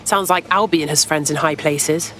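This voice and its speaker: An actress playing an earnest, eager young resistance recruiter in a video game cutscene. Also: female voice, low voice, normal speed, fairly bright tone, a little hiss or hum. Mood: earnest, concerned.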